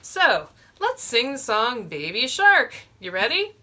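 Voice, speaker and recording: A woman's voice: fairly high pitch, wildly swooping pitch, slow pace, bright, forceful tone. A woman in her forties hosting a children's storytime, explaining a simple craft step by step from a small room. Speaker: librarian, storytime host.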